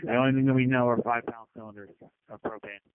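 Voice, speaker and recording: A man's voice: mid-range voice, steady tone, fast. A man speaking as a fire incident commander in terse emergency radio traffic, over a two-way radio. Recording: tinny narrowband fire radio transmission.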